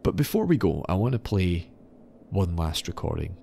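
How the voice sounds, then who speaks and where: A man hosting a podcast, telling stories in a semi-scripted, articulate and measured explanatory way. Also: low voice, sing-song, creaky, heavy background noise. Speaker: podcast host. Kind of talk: semi-scripted storytelling narration.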